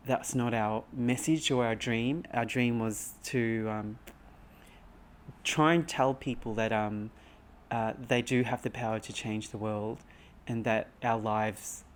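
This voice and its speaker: A young man from Cambodia, telling his story as a business founder in a soft, earnest documentary narration with frequent pauses. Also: on the low side, slight background noise. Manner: soft-spoken, earnest, pausing with frequent ums. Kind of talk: documentary testimony and reflective narration.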